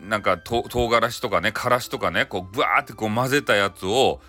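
A man's voice: fairly low pitch, brisk, bright, forceful tone, soft background music. A man with a Hakata accent telling a folktale casually and playfully, chatty and full of spoken sound effects. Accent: Hakata Japanese. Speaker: storyteller, audio channel host.

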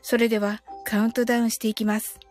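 A woman's voice: a little high, level tone, fast, a little mellow, faint music behind. A woman hosting an online live stream, speaking in an informal, personal style as she addresses her listeners. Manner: chatty, reading out listener comments. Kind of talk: casual live stream chat and bilingual guided meditation.

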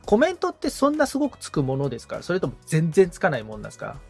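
A man's voice: a little high, wildly swooping pitch, racing, vocal fry, a little mellow, husky, soft background music. A man chatting as a podcast assistant being coached, musing and asking questions in a casually polite, tentative way.

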